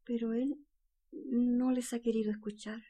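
A woman playing a wistful, fearful, obedient wife in a radio play, speaking in a hushed, hesitant, yearning way. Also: a little high, level tone, a little slow. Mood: anxious, wistful. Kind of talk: slow dramatic radio play monologue.

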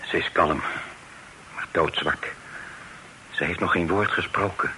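Earnest gentleman, lowish, noisy recording. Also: unhurried, exaggerated voice. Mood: hushed, grave. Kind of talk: radio drama acting.

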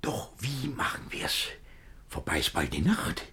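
A man's voice: lowish voice, huge pitch swings, unhurried, bright, forceful tone, husky, exaggerated voice. An actor playing an anxious, scheming middle-aged bourgeois man in a rhymed-verse radio comedy, with a blustering, theatrical delivery. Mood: furtive, scheming. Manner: blustering, agitated, exclamatory.

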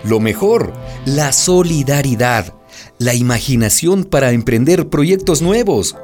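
Slow, expressive male narrator. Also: mid-range voice. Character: grave, earnest male radio drama narrator. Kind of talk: scripted narration.

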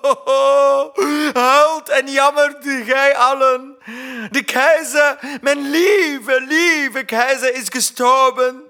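Shrill, panicky, pompous court steward. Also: male voice, steady tone, takes it slowly, hardly any pauses, no echo, clean studio sound, heavily acted. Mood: wailing. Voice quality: high-pitched and bright. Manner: stammering, formal, melodramatic wailing. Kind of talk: theatrical audio drama acting.

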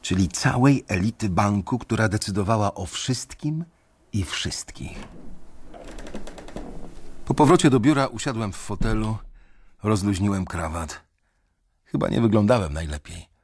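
A man's voice: lowish voice, lively intonation, measured pace, pauses often, breathy edge, heavily acted. A young man narrating in the first person as a wary bank analyst, speaking in a restrained way in a radio drama.

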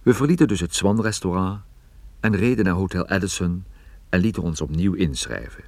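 Casting: tired, wry private eye for radio drama, low-pitched, very animated delivery, urgent in dialogue. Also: male voice, a little fast. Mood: weary narration. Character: male private detective and narrator, weary, urgent, wry. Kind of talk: radio play acting with first-person narration.

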